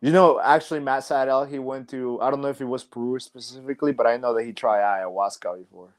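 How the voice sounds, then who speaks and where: A man's voice: medium pitch, fairly even intonation, fast. A young man, a professional wrestler guest on a podcast, talking casually and reflectively with many "like" and "you know" fillers. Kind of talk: casual interview chat.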